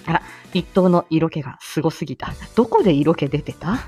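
A woman hosting a casual live morning audio show, chatting to listeners in a lively, expressive way with frequent laughter. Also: deep voice, brisk. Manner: chatty, laughs often while talking. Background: background music.

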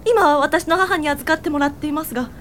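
A woman's voice: high voice, fast, fairly bright tone, a little hiss or hum. An actress playing a distressed, sick young mother who pleads in a radio drama. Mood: anguished, pleading.

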